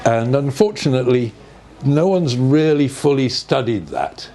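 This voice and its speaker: An older man giving an informal, measured explanation in conversation, often checking with rhetorical questions. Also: medium pitch, animated, creaky, warm tone. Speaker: speaker on earth energies and ancient sites. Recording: noticeable background noise.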